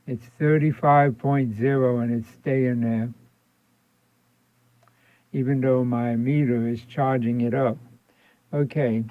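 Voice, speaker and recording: A man's voice: medium pitch, dry recording. Man in thin, phone-like audio, tutoring informally. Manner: informal, thinking aloud, repeating points. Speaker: electronics repair instructor. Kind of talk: hands-on tutorial narration, casual and explanatory. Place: indoor electronics workbench. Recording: thin phone-like band-limited sound.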